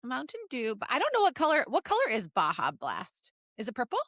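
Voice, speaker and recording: A woman's voice: high-pitched, wildly swooping pitch, fairly quick, fairly bright tone, dry recording, studio quality. A woman co-hosting a podcast in casual comedic banter, teasing playfully and laughing often. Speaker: podcast co-host.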